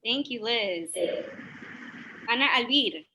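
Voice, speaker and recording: A woman hosting a video call, calling names and warmly welcoming each speaker. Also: fairly high pitch, lively intonation, slight background noise, poor audio. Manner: upbeat, quick thanks and welcomes. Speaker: host. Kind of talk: casual introductions on a video call.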